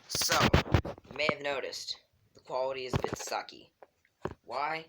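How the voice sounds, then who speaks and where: A man's voice: mid-range voice, animated, moderate pace. A man talking casually and explaining things in an informal vlog, recorded on a cheap phone. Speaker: video uploader. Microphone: handheld Android phone. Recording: cheap phone mic, faint noise.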